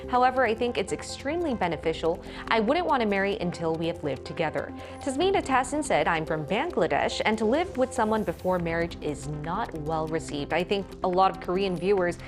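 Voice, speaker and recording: A young woman presenting a live morning discussion show as host in a TV studio. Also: mid-range voice.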